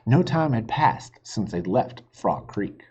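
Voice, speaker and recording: A man reading a children's chapter book aloud, talking gently to young listeners between passages, on a dull lo-fi recording. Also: lowish voice, sing-song, takes it slowly, slightly rough. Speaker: reader for children.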